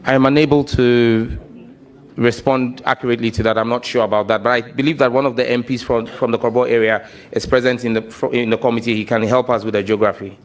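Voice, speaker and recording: Formal nominee answering a committee. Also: male voice, medium pitch, fairly even intonation, quick pace. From Ghana. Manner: careful, formal answers addressed to the chairman. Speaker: nominee at a vetting hearing. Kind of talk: formal testimony.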